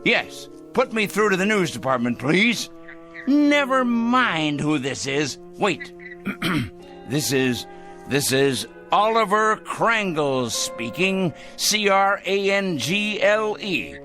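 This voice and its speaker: Smug paranoid crank, bright voice, slow and swooping, faint music under it. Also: male voice, high voice, sing-song, exaggerated voice. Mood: scheming. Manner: theatrical, gloating, talks to his pet bird. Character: paranoid middle-aged man, smug, hateful zealot. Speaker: fanatical letter-writing crank. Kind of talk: 1960s TV drama acting.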